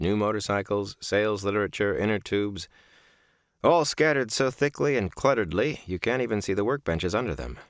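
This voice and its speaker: Man, low pitch, expressive range, dry close sound, audiobook narration, measured storytelling. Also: no room sound. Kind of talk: scripted first-person audiobook narration.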